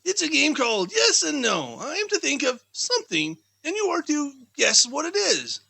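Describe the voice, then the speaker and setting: A man voicing a cheerful, good-natured young Victorian gentleman in a chatty, animated way for a storybook. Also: very high-pitched, normal speed, vocal fry, voice pushed up, exaggerated voice. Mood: playful.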